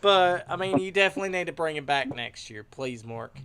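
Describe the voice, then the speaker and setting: A man hosting a live stream in casual chat, excitable and quick to laugh, often trailing off and restarting his sentences. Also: a little high, very noisy. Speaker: stream host.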